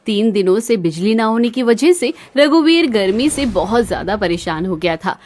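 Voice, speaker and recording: A woman's voice: medium pitch, animated, moderate pace, darkish tone. A woman reading scripted narration for a cartoon story.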